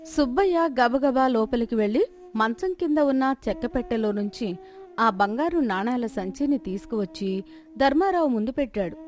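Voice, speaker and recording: A woman tells a scripted moral tale in a fairly high voice. Music plays audibly behind her storytelling. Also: lively intonation, moderate pace, darkish tone. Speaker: narrator.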